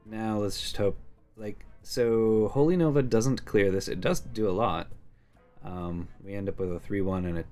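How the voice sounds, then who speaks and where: A man giving casual strategy commentary on a card game, thinking out loud in musing asides. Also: lowish voice, moderate pace. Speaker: game streamer. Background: game music.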